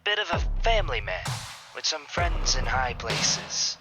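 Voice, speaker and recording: A man's voice: a little high, expressive, a little slow, gravelly. A man playing a cold, businesslike mob boss in a crime game, curt and callous. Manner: curt, matter-of-fact, callous. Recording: loud music over the voice.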